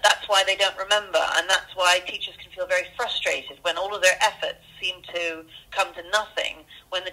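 Bright-voiced woman on a phone line. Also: fairly low pitch. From grew up in Canada. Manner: earnest, explanatory, many ums. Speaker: school headmistress, interview guest. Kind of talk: casual phone interview. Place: school office. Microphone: telephone.

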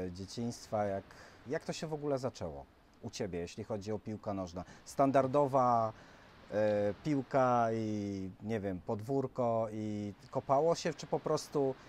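A male sports interviewer with a lowish voice, asking casual questions in a hesitant, pieced-together way. He is recorded outdoors, with faint ambient noise behind him. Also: darkish tone. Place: outdoors on a beach, off camera.

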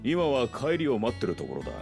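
An actor playing a dependable middle-aged hideout steward in a video game, gruff, gravelly and plain-spoken. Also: male voice, mid-range voice, wildly swooping pitch, quick pace, darkish tone, soft background music. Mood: concerned, dry. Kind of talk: Japanese video game cutscene acting.

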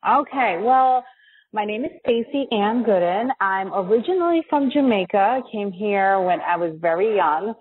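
A woman's voice: medium pitch, lively intonation, normal speed, husky. An American woman in her forties chatting casually as a guest in a podcast interview. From United States. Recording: thin, phone-like line.

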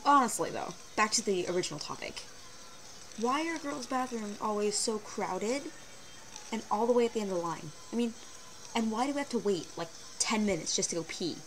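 A teenage girl rants to her webcam in a casual, animated way, her voice sitting a little low for a girl. She talks at a medium pitch with sarcastic asides. The audio is a hissy built-in webcam recording from a small bedroom. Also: a little fast, rough recording. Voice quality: fairly low voice for a woman. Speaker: vlogger. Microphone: built-in webcam mic.

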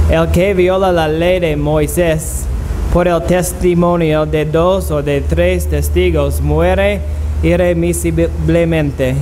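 A man preaching a sermon in a church, pausing in short phrases for an interpreter. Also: a little high, a little mellow, a little hiss or hum, poor audio. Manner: slow, halting, pausing for translation. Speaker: guest preacher. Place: church sanctuary.

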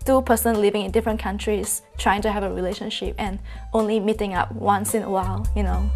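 Soft-spoken young woman from Singapore. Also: mid-range voice, steady tone, fairly quick, soft background music. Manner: soft, sincere, frequent fillers. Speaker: interviewee, photographer and reporter. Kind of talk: documentary interview. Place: room with bookshelves, indoors.

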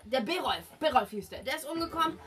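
A woman voicing a gossipy, flattering village craftsman in a tabletop game, chatty and folksy. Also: high-pitched, fast, far from the mic.

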